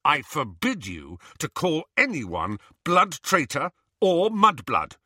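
A man's voice: a little high, sing-song, measured pace, bright, forceful tone, no room sound, studio quality, voice pushed up, full character voice. A man voicing a fierce, commanding young male wizard giving orders.

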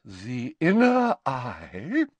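A haughty older woman fortune teller from a storybook, as a male narrator puts her on. The voice is high and swings widely in pitch. She stretches her words grandly and theatrically, with a slightly tipsy affectation. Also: expressive, dry recording. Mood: indignant, grand. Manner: grand, affected, drawn-out delivery.

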